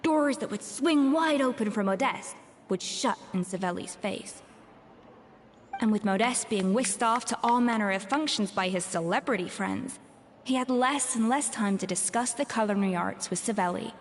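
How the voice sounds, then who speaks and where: A woman's voice: mid-range voice, slight background noise, natural voice. An actress voicing a proud, troubled young female chef in a video game, reflective and articulate. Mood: somber, reflective. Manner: measured, articulate, pensive storytelling. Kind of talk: scripted video game voice acting. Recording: long reverb, music behind. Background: game music.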